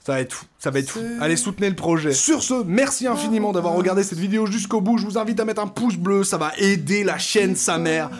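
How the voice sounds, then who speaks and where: A man's voice: sits high, fast, few pauses. A man giving casual, humorous reaction commentary as a show host, in slangy, crude, joking language. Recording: music behind the voice.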